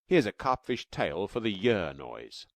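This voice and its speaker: A man voicing a fond, excited cave-man father who explains patiently. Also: lowish voice, unhurried, no room sound, studio quality.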